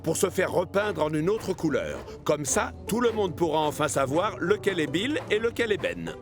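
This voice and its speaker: A man narrating a French children's cartoon as an expressive storyteller. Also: a little high, normal speed, over music.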